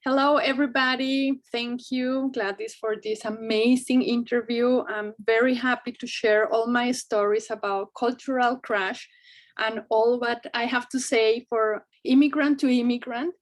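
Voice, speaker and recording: Colombian woman, 30s, native Spanish, slight German edge, higher voice, cheerful and chatty guest. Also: fairly even intonation, a little slow. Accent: Colombian Spanish, some German. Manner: cheerful, chatty, searches for words. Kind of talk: video call interview. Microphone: video call webcam.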